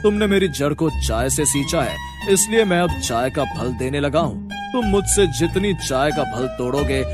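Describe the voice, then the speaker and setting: A man voicing an ancient, benevolent magical talking tree in a children's story, solemn and measured. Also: fairly high pitch.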